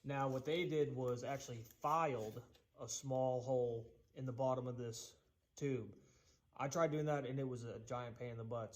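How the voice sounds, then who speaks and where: A man's voice: medium pitch, darkish tone. A man with a Boston accent giving a casual, chatty how-to demonstration in his home workshop, with loose folksy asides. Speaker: DIY woodworking channel host.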